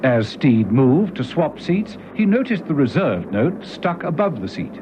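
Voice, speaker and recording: A man's voice: a little high, very animated, unhurried, noisy background. A man narrating a vintage radio serial in a dramatic, scripted style. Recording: dull lo-fi old radio recording. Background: music.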